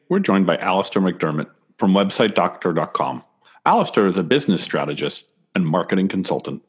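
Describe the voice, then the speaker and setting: A man co-hosting a podcast, reading a measured guest introduction, then chatting casually. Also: low-pitched, slightly rough. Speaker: podcast co-host. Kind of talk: scripted intro and casual chat.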